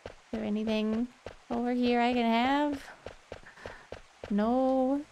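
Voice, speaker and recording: A woman's voice: fairly high pitch, fairly even intonation, slow, a little mellow, low-quality recording. A young woman chatting casually and gigglily with viewers as she streams a game on a close microphone. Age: young adult. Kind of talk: casual livestream gameplay chat. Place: indoor room, streaming setup. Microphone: boom-arm microphone close up. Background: faint game music.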